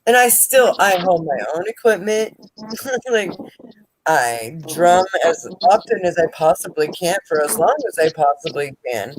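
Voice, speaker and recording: A woman's voice: fairly low pitch, lively intonation, measured pace. Chatty woman drummer over noisy call audio. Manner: chatty, candid, talks in long run-on stretches. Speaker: drummer in an online chat. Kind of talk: casual chat.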